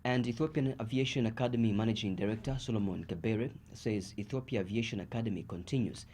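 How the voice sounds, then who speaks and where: A man with a Zambian accent reads the news from a script. His voice sits on the low side, and there is a slight background noise on the recording. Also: fast, a little mellow. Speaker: news reader.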